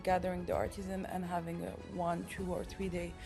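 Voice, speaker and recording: A woman's voice: on the low side, level tone, a little fast, music in the background. Founder woman, thoughtful with many fillers. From France. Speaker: startup founder. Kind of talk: casual interview answers.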